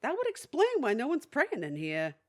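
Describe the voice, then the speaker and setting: Animated, kvetching older widow. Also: female voice, a little high, moderate pace. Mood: exasperated, wry. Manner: kvetching, folksy, Yiddish-peppered. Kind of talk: audio theatre comedy acting.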